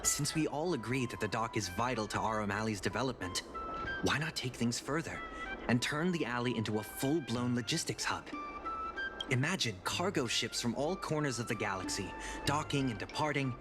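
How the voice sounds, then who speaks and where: A man voicing a calculating, self-assured corporate representative in a video game, with loud music behind him. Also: mid-range voice, close to an everyday voice. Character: smooth, calculating male corporate operations representative, composed. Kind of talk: video game character dialogue.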